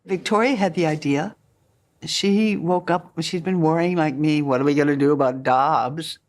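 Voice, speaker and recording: A man, co-writer of a play, retelling events in a brisk, animated way during an interview. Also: fairly high pitch. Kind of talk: documentary interview.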